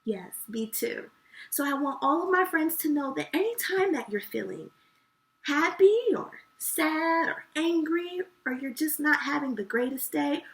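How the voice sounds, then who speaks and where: A woman's voice: high voice, vocal fry, a bit bright. A woman hosting a children's show, chatting to young viewers in an effusive, encouraging way. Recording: echoey room, distant microphone.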